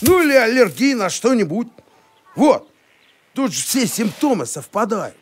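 A man voicing an eager, naive talking dog in an animated cartoon, earnest and guileless, a helpful know-it-all. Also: very high-pitched, unhurried, a little hiss or hum, heavily acted.

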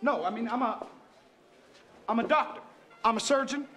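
High-pitched, brash, indignant man bluffing as surgeon. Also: moderate pace. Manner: pushy, loud, argumentative. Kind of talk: film comedy acting.

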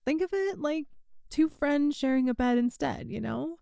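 A woman's voice: high voice, lively intonation, normal speed, creaky, dark tone, dry recording, clean studio sound. A woman playing a teasing young woman, a friend's older sister, in a conversational audio roleplay. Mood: playfully exasperated. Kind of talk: intimate ASMR-style audio roleplay, one side of a conversation.

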